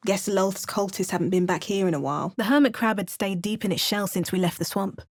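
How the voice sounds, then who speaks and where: Woman, game hero voice lines, wry understated delivery, mid pitch, dry close mic. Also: a little fast, no room sound. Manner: wry, understated asides and narration. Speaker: video game player character.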